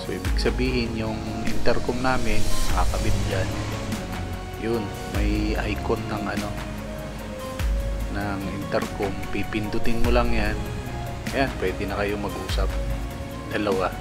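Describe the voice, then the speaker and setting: A man's voice: on the low side, steady tone, unhurried, pauses often. A man with a Filipino accent narrating a casual product demo as a motorcycle vlogger, informal and explanatory. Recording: music playing behind the voice. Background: loud music.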